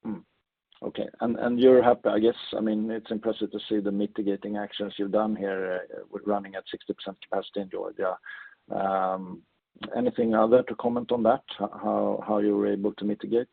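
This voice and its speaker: A dry, dull call line carries a man with a husky, fairly low voice. He is an analyst asking questions off the cuff, tentatively restarting as he goes. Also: little pitch movement, brisk, no room sound. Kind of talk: unscripted questions on an earnings call. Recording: dry, dull band-limited phone line.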